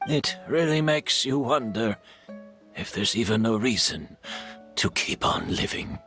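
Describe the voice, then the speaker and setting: A gentle, aging teacher speaks slowly and reflectively, sounding calm and resigned. His fairly low voice has a bright, clear edge, with soft guitar music underneath. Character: gentle aging scholar, a teacher facing death. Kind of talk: game dialogue.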